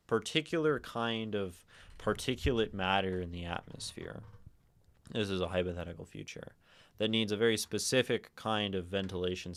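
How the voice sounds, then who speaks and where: A man with a naturally low voice, hosting a livestream and musing softly and aimlessly with many fillers. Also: clear, resonant tone. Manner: soft, meandering, lots of fillers; prefers talking quietly and a little higher. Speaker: livestream host. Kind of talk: casual livestream chat.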